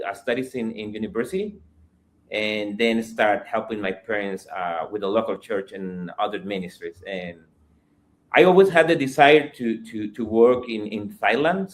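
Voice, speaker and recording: A fairly low-voiced young man from El Salvador who speaks English as a second language after Spanish. He answers interview questions in a careful, measured way, pausing often to find his words. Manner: careful, pausing with frequent fillers. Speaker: missionary guest.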